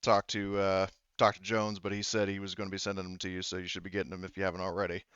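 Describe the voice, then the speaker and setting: A man playing a hesitant colony security officer in a tabletop roleplaying game. He hedges and reports informally in a smooth, lowish voice, recorded dry with a slightly dull sound. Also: monotone, fairly bright tone, no room sound. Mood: casual, unsure. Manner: halting, hedging, informal.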